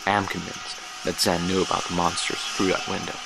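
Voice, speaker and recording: Haunted, sleepless male scholar, horror audio drama. Deep, flat, measured delivery, brooding and literary. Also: vocal fry, background noise. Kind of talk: dramatic first-person horror monologue.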